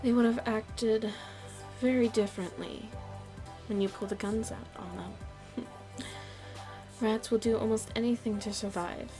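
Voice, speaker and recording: A woman playing a shrewd, proud nightclub headliner in the mafia in an ASMR roleplay, speaking deliberately in a haughty, taunting manner. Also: on the high side, fairly even intonation, over music. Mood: confident, scheming. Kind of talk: ASMR roleplay audio drama.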